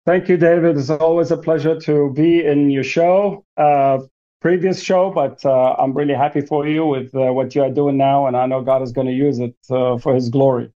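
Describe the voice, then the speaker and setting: A man's voice: mid-range voice, steady tone, moderate pace, mellow, lo-fi sound. A man from Iraq, a missionary pastor, answering interview questions hesitantly, with many fillers. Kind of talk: interview guest.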